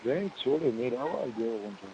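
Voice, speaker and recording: Dull phone audio, husky male voice, Marwari accent, terse casual replies. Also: mid-range voice, expressive, a little fast, dark tone. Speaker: other party on a personal phone call. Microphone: telephone line. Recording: dull, lo-fi phone call recording.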